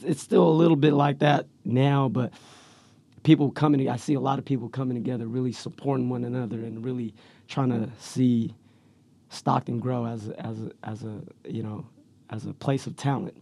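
A man with a raspy voice, talking slangy and laid-back as a rapper guest in a casual interview, with lots of fillers. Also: mid-range voice, fairly even intonation, normal speed, warm tone, dry recording. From Central Valley, California.

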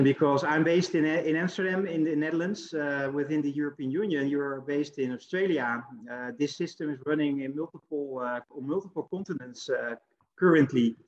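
A man with a slightly higher voice hosts an interview, halting and filling pauses with many "uh"s as he restarts his questions. His voice comes through dull, lo-fi video-call audio. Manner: hesitant, many fillers and restarts. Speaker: interview host. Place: home in the Netherlands.

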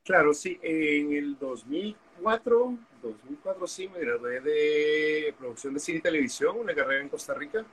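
A man's voice: fairly high pitch, expressive, moderate pace, hardly any pauses, fairly bright tone, slight background noise, poor audio. A man, a guest telling his story candidly in an interview over a video call. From Honduras. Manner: candid, storytelling, frequent fillers. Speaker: guest, activist. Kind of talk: interview conversation.

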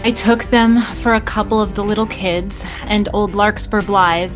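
A woman's voice: mid-range voice, fairly even intonation, normal speed, over music, natural voice. A woman playing a fatalistic but caring teenage girl from a poor district, speaking plainly in audio drama dialogue. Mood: grim, resigned.

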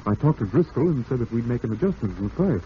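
Actor as a suave, scheming husband, old radio drama. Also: male voice, mid-range voice, big pitch swings, fast, lightly acted. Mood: falsely cheerful. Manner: suave, falsely cheerful, darkly ironic. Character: scheming, smooth-talking husband, a cold-blooded murderer. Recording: dull, noisy vintage radio recording.

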